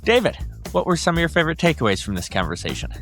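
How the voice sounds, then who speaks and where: A man's voice: on the high side, wildly swooping pitch, very fast, fairly bright tone, faint music behind. A man co-hosting a podcast, opening the show and introducing a guest in an upbeat way. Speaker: podcast co-host. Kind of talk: interview intro and questions.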